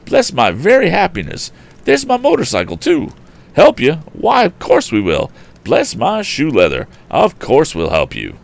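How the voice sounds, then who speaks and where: A man voicing an eccentric, excitable, good-hearted storybook gentleman who blusters in exclamations. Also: a little high, unhurried, gravelly, pitched above the performer's own voice, full character voice. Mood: delighted, blustery. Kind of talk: storybook character voice.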